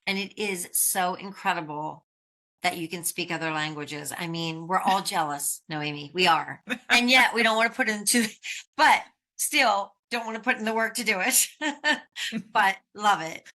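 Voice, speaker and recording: Bright-voiced American woman hosting a podcast. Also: mid-range voice, normal speed. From United States. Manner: chatty, laughs often, reflective. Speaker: podcast host. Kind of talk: casual interview chat.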